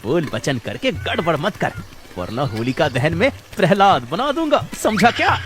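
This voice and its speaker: A man playing a boisterous rustic village man in a comic cartoon, with a drawn-out, exclamatory, folksy delivery. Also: on the high side, very animated. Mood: irritated, threatening. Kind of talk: cartoon voice acting. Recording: background music under the voice.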